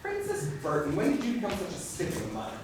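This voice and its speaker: A man's voice: sits high, huge pitch swings, fast talker, recorded from a distance, heavy background noise. A man playing a witty, melancholy poet in a stage musical, teasing in florid, wry theatrical phrases. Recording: echoey hall recorded from afar, piano music.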